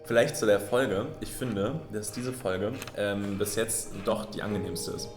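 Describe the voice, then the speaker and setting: A man's voice: lowish voice, measured pace. A young man, an actor, speaking frankly and giving his opinions in a casual group discussion. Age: young adult. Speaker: actor in a web series. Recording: echoey room, loud music bed. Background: music.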